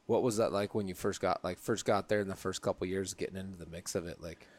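A man's voice: sits low, steady tone, fast. A man hosting a casual interview, easygoing, asking loose questions and giving many short replies. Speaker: interviewer, host.

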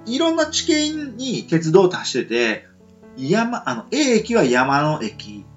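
A man's voice: sits high, wildly swooping pitch, takes it slowly, muffled recording, soft background music. A man co-hosting a casual radio chat, rambling with many fillers. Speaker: radio show co-host.

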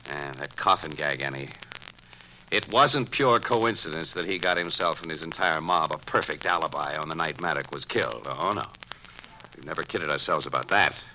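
An American actor playing a brash, wry newspaper crime photographer in an old-time radio drama, talking in insistent slang. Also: male voice, a bass voice, normal speed, sharp tone, exaggerated voice. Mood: wry, confident. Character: brash, quick-thinking male newspaper crime photographer sleuth. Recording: noisy old radio broadcast recording.

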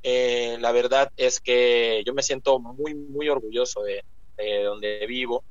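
Young male guest, mid pitch, rambling and filler-heavy, lo-fi recording. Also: fairly even intonation, slow pace, dry recording. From Ecuador. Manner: rambling, with many fillers and restarts. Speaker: guest author. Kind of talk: casual interview talk. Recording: muffled lo-fi sound.

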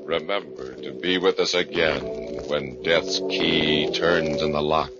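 A theatrical announcer of a 1940s murder mystery broadcast. His low voice is slow and ominous. Music plays clearly behind him. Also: fairly even intonation. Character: ominous male announcer of a midnight murder show. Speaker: radio show announcer. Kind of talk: 1940s radio horror announcing. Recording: dull old radio recording, music behind.

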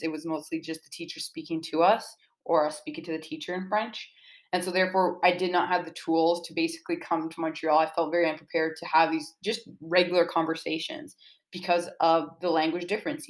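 A young woman with a fairly low voice talks as a student being interviewed about their own writing. The delivery is casual and earnest, explaining things informally with plenty of "like" along the way. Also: rough recording.

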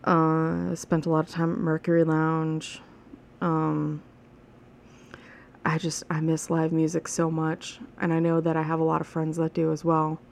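A woman's voice: deep voice, monotone, measured pace, gravelly, a little mellow, a little hiss or hum. A woman in her thirties hosting a casual solo podcast, rambling and chatty, frank and swearing now and then. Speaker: podcast host. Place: alone at home.

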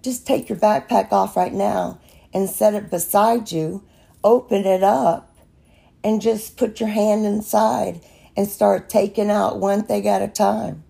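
Raspy slow-talking woman podcaster, earnest. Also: medium pitch, fairly even intonation, warm tone. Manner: slow, earnest, encouraging, rambling with restarts. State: raspy voice from allergies. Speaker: podcast host.

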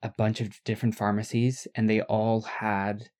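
A young man in a relaxed, rambling storytelling mode, co-hosting a podcast. His voice sits fairly low. He keeps circling back and restarting his sentences, with a quiet laugh now and then, and English is not his first language. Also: normal speed. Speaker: podcast co-host. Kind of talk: casual storytelling.

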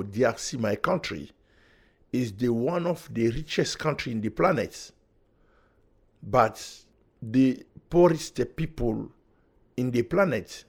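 A man, speaking as a podcast guest in halting, earnest English. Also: medium pitch, creaky, husky. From Democratic Republic of Congo. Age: 40s. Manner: halting non-native English in short earnest phrases. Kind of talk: conversational interview, personal testimony.

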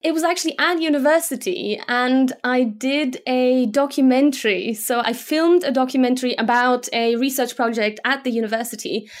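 A Slovak-born woman with an English accent talks casually as an interview guest. She is a young adult with a fairly high voice, and she chats along with plenty of fillers and restarts. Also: moderate pace, few pauses. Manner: chatty, with many fillers and restarts. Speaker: guest, motion graphics designer.

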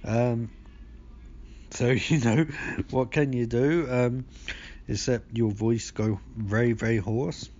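A hoarse man with a gravelly voice, hosting a podcast and recapping a movie in sweary, rambling casual talk. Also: medium pitch, steady tone, slow pace, pauses often, a little mellow. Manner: sweary, rambling, acts out scenes. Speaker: podcast host. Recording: dull lo-fi recording.

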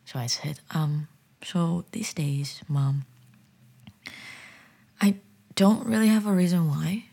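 A young woman speaks low and hushed, her breathy voice slipping into whispers again and again. She tells her story gently and reflectively. The tone stays close and intimate. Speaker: storyteller sharing personal experiences. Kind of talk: soft-spoken personal storytelling.

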